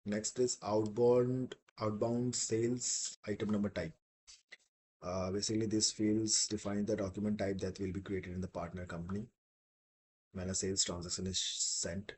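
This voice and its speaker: A man teaching in a software demo, explaining step by step in a halting, repetitive way. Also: on the low side, flat delivery, slight background noise. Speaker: software instructor.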